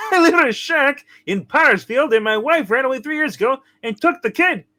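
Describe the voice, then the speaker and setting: A man voicing a tipsy, puzzled, gruff cab driver in an amateur comic play reading. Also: very high voice, animated, normal speed, sharp tone, heavily acted. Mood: wary.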